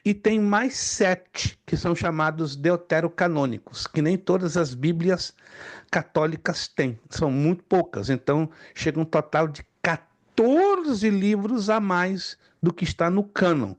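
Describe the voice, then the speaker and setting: A man's voice: a little high, animated, a little slow. A man teaching a theology class, wandering into stories and putting questions to his students. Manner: digressive, asks the class questions. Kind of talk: classroom lecture.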